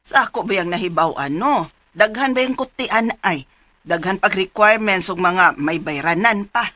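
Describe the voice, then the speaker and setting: A woman's voice: medium pitch, moderate pace, bright, forceful tone, dry recording. An actress playing a doubtful, fretting middle-aged mother with a Cebuano accent in a radio drama. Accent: Filipino, Cebuano.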